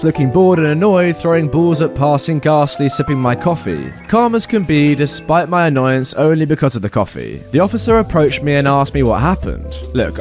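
Guy reading stories out loud, sarcastic and lively, music faint in back. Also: a little high. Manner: sarcastic, lively storytelling with put-on voices for quotes. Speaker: story narrator. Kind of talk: reading online stories aloud.

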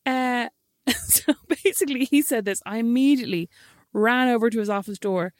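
A woman's voice: on the high side, moderate pace, darkish tone, no echo, clean studio sound. A woman, co-hosting a casual chat with her sister, telling stories animatedly and laughing often.

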